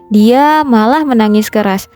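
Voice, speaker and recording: Woman storyteller, mellow dark tone, medium pitch, children's folktale narration, light music behind. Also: unhurried. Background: background music.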